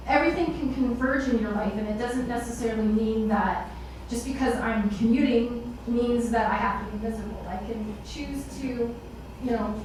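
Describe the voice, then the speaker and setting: A woman moderating a panel, telling casual personal anecdotes to a room. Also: on the high side. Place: indoor meetup event space with seated audience. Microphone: far camera. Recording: very echoey room, distant microphone, background noise.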